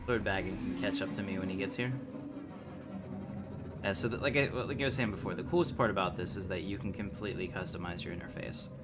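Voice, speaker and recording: A man's voice: sits low, fast talker, loud music behind the voice. A man hosting a game livestream, chatting in a casual, rambling, easygoing way as he comments on play. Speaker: game streamer, host.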